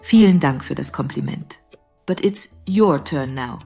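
Radio play: actress for a teasing, commanding dream-therapist madame, deep and very expressive, flirtatious. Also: female voice, a little slow, gravelly, faint music behind, heavily acted. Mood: coaxing. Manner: flirtatious, familiar, in control. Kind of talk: audio drama dialogue.

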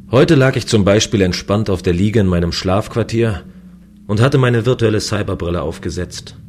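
A man acting as a solitary, easygoing watchman of a remote space station in a sci-fi radio play. His voice is low, and he speaks scripted lines as the story's own narrator and hero. There is faint noise in the recording. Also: lightly acted. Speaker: voice actor and first-person narrator.

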